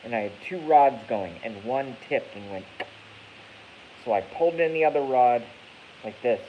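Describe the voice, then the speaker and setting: A man's voice: mid-range voice, expressive, moderate pace. A man in his thirties from Massachusetts, ranting casually on a live stream in a rambling, profane way that often corrects itself. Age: 30s. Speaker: YouTube streamer. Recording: dull lo-fi with faint hiss.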